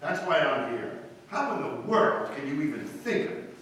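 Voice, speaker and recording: A man's voice: a little high, sing-song, moderate pace, a bit bright. Echoey, wry, urbane ex-husband, acted by a man. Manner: wry, sardonic. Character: suave needling ex-husband, wry, urbane and lecturing. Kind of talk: 1940s radio drama acting, live. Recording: echoey hall from far off, faint noise.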